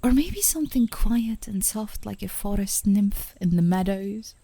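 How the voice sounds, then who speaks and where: A woman playing a tender, playful, adoring girlfriend in an intimate ASMR romantic roleplay, speaking softly and gently, often in a whisper. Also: medium pitch, expressive. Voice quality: soft, breathy, often whispering. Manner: gentle, affectionate, unhurried, giggly. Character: tender, playful, adoring girlfriend comforting her partner outdoors.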